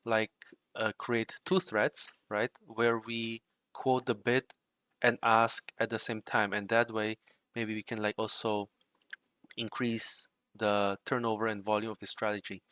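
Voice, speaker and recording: A man's voice: fairly low pitch, unhurried, clear, resonant tone, no echo. A young man from the Czech Republic chatting casually on a livestream while he codes, rambling with lots of "like" and "right". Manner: relaxed, rambling, frequent fillers like "like" and "right". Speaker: livestream host and trading bot developer.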